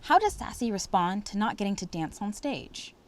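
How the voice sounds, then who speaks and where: A woman reading a picture book aloud to children, pausing to ask and answer questions in a clear, teacherly way. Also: medium pitch, sing-song. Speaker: reading tutor.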